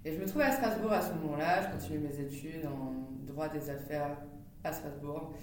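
Guest voice: woman in her thirties, animated and fast in bursts, recorded far off the mic in a very echoey room with a low rumble. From Netherlands. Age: 30s. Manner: animated, laughs often, talks fast in bursts. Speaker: startup founder, podcast guest. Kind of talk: casual interview storytelling.

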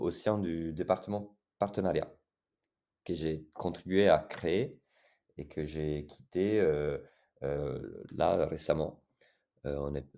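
Very deep Italian-accented man, hesitant. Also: gravelly, dark tone. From Italy. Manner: hesitant, many fillers and restarts. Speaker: podcast guest. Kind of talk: casual interview talk.

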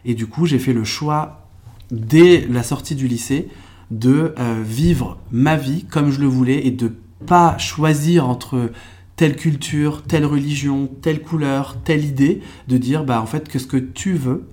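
A young man from France, a podcast guest, telling his life story in a relaxed, reflective way with lots of fillers and restarts. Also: medium pitch, unhurried. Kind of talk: casual conversational storytelling.

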